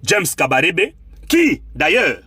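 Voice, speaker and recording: Congolese-accented man, political commentator, high voice, very lively intonation, emphatic rhetorical delivery. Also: slow, slightly rough, no echo. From Democratic Republic of the Congo. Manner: rhetorical, emphatic, repeats phrases for effect. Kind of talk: impassioned political monologue.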